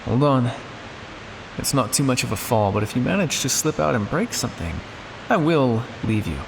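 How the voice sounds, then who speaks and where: A man's voice: medium pitch, animated, normal speed, gravelly, in a large hall, noisy background. A man playing a cynical, world-weary vampire scientist on the run in an intimate, condescending ASMR roleplay monologue. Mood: teasing, grudgingly gentle. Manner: sardonic, condescending, with exasperated sighs and dry asides.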